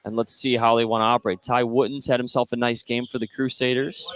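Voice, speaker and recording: Energetic man calling live basketball. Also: medium pitch, quick pace. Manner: energetic, rising on scoring plays. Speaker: play-by-play announcer. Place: indoor gym at basketball tournament. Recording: noisy gym ambience behind voice. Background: gym ambience.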